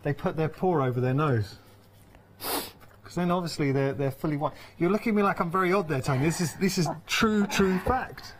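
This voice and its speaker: A man's voice: a little high, brisk, a little hiss or hum. A man in his thirties presenting on a studio set, bantering playfully with trivia and stories. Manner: playful, jokey, full of trivia and anecdotes. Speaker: TV shopping channel presenter. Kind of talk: light banter with a guest.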